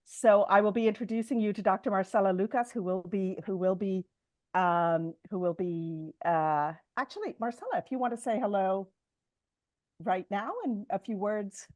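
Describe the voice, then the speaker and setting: A woman facilitating a webinar over a video call, introducing the speakers in a halting way with many fillers. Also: medium pitch, darkish tone, dry recording. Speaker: session facilitator. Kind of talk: hosting a webinar. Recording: dull lo-fi call audio.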